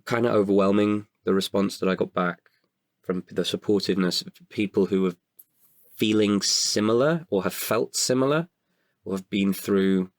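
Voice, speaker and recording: A man, an actor, talking reflectively about himself in an interview, haltingly and with pauses mid-sentence. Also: on the low side, vocal fry, dry recording, studio quality. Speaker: actor and guest writer. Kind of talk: reflective personal interview talk.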